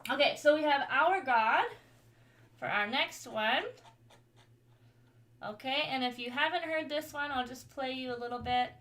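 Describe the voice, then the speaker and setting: A woman's voice: measured pace, pauses often, fairly bright tone. Chatty woman teaching gospel piano. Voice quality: higher voice. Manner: friendly, chatty, with frequent "um" and small laughs. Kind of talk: online gospel piano lesson. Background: piano playing.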